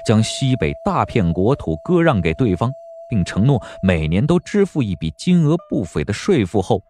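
A man's voice: medium pitch, warm tone. A man giving dramatic scripted narration for a historical audio drama, as an audiobook narrator.

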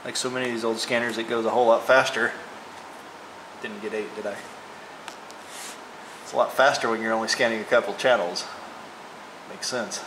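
A man's voice: fairly low pitch, fairly even intonation, fairly quick, long pauses. A man presenting a casual hands-on gadget demonstration, unscripted and thinking aloud as he works. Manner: unscripted, thinking aloud while operating a device. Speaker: presenter of a vintage electronics show. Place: indoors at a table. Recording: roomy, noisy, radio static behind.

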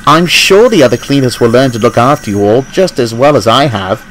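A man's voice: medium pitch, lively intonation, fairly quick, a bit bright, a little hiss or hum, close to an everyday voice, rough recording. A man voicing a kind, cheerful young woman who cleans engines, with an affectionate teasing manner. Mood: warm, teasing.

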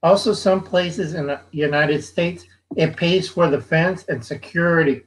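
A man's voice: fairly high pitch, unhurried, slight background noise, rough recording. A middle-aged man on a headset in a casual video call, losing his voice and chatty. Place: indoors, home.

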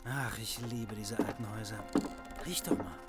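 A man's voice: lowish voice, moderate pace, loud music behind the voice, natural voice. An actor playing an enthusiastic middle-aged father in an audio drama, jovial and theatrical. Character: enthusiastic, breezy family father. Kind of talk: acted horror audio drama dialogue.

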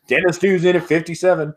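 A middle-aged man hosting an online auction, calling bids in a steady repetitive rhythm and laughing often, recorded through an earbud headset on a video call at home. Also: high-pitched, normal speed. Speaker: auctioneer host. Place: home room with sports memorabilia.

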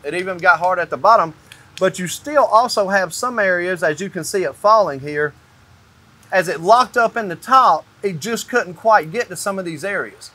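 High-pitched man explaining step by step. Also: normal speed, bright, forceful tone. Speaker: company owner explaining construction. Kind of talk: hands-on explanatory demonstration. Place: indoors in a metal-walled barn or shop.

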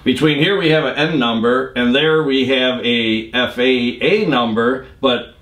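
A man's voice: medium pitch, measured pace, fairly bright tone. A man in his fifties chatting casually as a co-host who asks questions. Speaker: vlog co-host. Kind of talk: casual chat, asking questions. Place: indoor workshop or studio room. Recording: roomy, echoing indoor sound.

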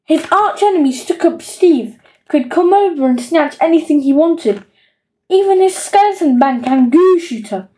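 A woman narrating a children's audiobook in an expressive storytelling style. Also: sits high, moderate pace, rough recording.

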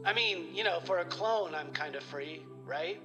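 A man's voice: on the high side, moderate pace, lightly acted. A man playing a frantic, paranoid male clone who phones a radio show while on the run, rambling and melodramatic, over music. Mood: triumphant. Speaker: caller. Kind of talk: comedy radio theater.

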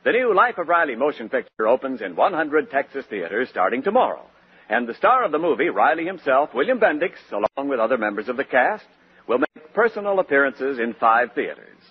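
A man's voice: mid-range voice, big pitch swings, brisk, slightly rough. An American man announcing on 1940s radio, reading news and ad copy in a polished showman's style. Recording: muffled 1940s radio recording, faint hiss.

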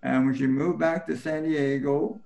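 An older man remembering his life as a guest on a video call, talking haltingly with many fillers and restarts. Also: on the high side, steady tone, creaky, a little mellow. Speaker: panel guest. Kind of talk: personal recollection in an interview. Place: home room, indoors.

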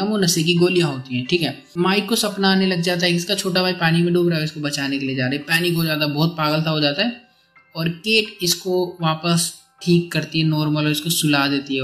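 A man tells a movie's story in a chatty, colloquial voice-over. His voice sits a little high, and he often rewords things as he goes. Soft music runs underneath. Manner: colloquial, conversational, repeatedly rephrasing. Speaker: movie recap narrator. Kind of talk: casual film plot explanation in storytelling voice-over. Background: background music.

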